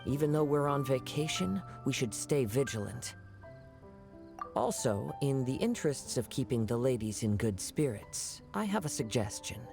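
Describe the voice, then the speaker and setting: A composed young swordsman in a game. He speaks politely and measuredly, with a noticeable creak at a medium pitch. Music plays behind him. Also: very animated, moderate pace. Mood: composed, considerate. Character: calm, courteous, watchful young wandering swordsman. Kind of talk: video game voice acting.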